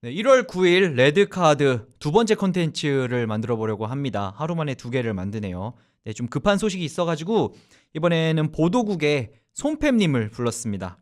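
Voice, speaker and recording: A man hosting a radio sports talk show, explaining at length in a loose, animated conversational way. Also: fairly high pitch, a little fast, soft background music.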